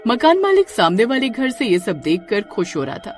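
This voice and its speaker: A woman narrating a scripted horror story in a dramatic, expressive way. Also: a little high, moderate pace, faint music behind.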